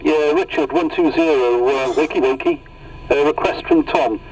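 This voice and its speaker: A man's voice: fairly high pitch, moderate pace. A man working as a CB radio operator, chatting casually over the air. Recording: noisy CB radio audio, distant mic.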